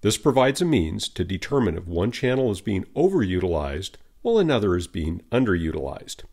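A man with a low voice and a gravelly edge narrates a scripted training tutorial. His delivery is measured and instructional, with lively rises and falls in pitch.

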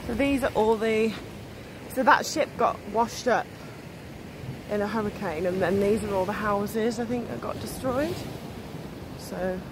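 A woman's voice: medium pitch, a little slow, long pauses. A woman in her twenties chatting casually as she films a travel vlog outdoors on a phone. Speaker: vlogger. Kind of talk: casual chat while filming a travel vlog. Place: outdoors on a beach and shoreline. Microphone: phone at arm's length. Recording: windy outdoor phone recording with surf. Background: wind and waves.

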